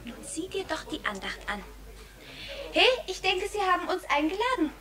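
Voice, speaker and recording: A woman playing a pert, outspoken and lively little girl in a 1960s German children's radio play. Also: very high voice, normal speed, a crowd in the background. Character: cheeky, lively little girl from a well-off family. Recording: noisy old vinyl, somewhat distant.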